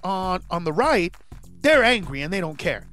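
A stand-up comedian in his forties, born in Honduras, talking animatedly as an interview guest and rising to shouts when he acts out his bits. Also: high voice, sing-song, moderate pace, faint music behind. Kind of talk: casual interview chat.

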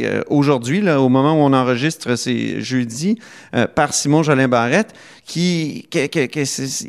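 A man with a mid-pitched voice and a Québécois accent. He works as an interviewer, putting questions in an informal, conversational style and often restarting his phrases. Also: clean tone.

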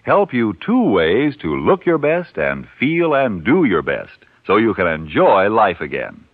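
A man's voice: on the low side, wildly swooping pitch, unhurried, no room sound. A male 1940s radio announcer reading a script with earnest, dramatic salesmanship. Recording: tinny, narrow-band 1940s radio recording.

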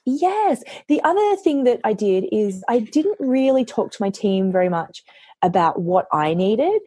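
A woman author, hoarse from losing her voice, chatting casually on a podcast and telling lively stories, often quoting herself. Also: a little high, a little slow, no room sound, studio quality. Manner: animated storytelling, quotes herself.

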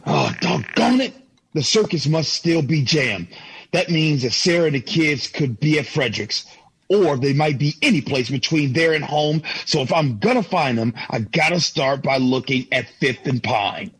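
Very animated, stubborn family man. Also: fairly high pitch, normal speed, slight background noise, low-quality recording. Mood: muttering, resolute. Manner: animated muttering, folksy exclamations. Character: stubborn, exasperated family man, a determined 1950s traveler. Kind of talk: old-time radio drama acting.

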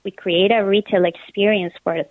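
A woman's voice: mid-range voice, fairly even intonation, no room sound. Woman on a tinny phone line. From Colombia. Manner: eager, talks in quick bursts with laughs. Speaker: small business owner, guest. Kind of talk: phone interview.

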